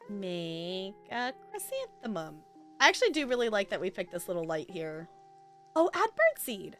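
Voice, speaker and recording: A woman's voice: medium pitch, pushed and bright. A woman streaming a life simulation game with casual, rambling commentary, chatting to viewers and to the game's characters.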